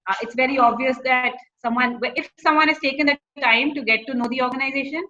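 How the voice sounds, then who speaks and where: A woman with an Indian accent shares informal career advice as a corporate recruiter. Her voice sits fairly high and sounds very bright and crisp-edged, and she mixes Hindi phrases into her English. The audio is slightly dull and lo-fi. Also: steady tone, fast.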